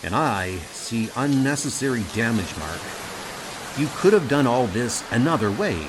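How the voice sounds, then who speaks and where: A man playing a measured, earnest, loyal starship captain in an audio comic dub. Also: mid-range voice, very animated, noisy background, lightly acted. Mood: reproachful. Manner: deliberate, earnest.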